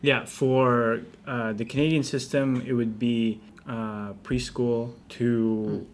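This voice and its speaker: A man's voice: mid-range voice, level tone, slow pace. A man chatting casually as a vlog host. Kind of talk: casual chat.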